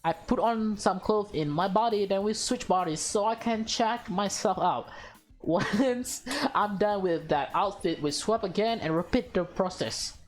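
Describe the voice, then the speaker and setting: A woman's voice: medium pitch, a little slow, faint music behind, close to an everyday voice. A woman voicing a bossy, vain young woman in a visual novel, with a demanding, teasing and theatrical manner.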